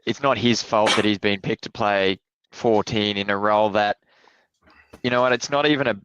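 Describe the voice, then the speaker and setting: A man with an Australian accent giving measured, explanatory sports analysis on a podcast. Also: fairly low pitch, fairly even intonation, highs cut off, noisy background. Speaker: podcast co-host.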